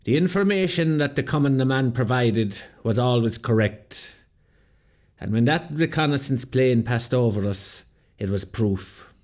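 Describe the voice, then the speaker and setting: Man acting rebel commander narrator, radio drama, grave, measured, middle pitch. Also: lively intonation, a little mellow, close to an everyday voice. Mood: reflective, grave. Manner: measured, solemn storytelling. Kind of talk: scripted first-person drama narration.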